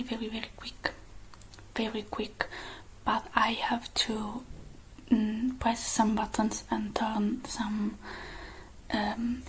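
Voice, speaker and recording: A woman whispers slowly and softly into a close microphone in an ASMR roleplay style. Her voice is breathy and dark, staying very even and gentle, with pauses between short phrases. There is a faint noise behind her. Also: a little high, poor audio. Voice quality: breathy whisper, mostly unvoiced. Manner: hushed, gentle, slow with many pauses. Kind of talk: whispered ASMR roleplay, one-sided personal attention.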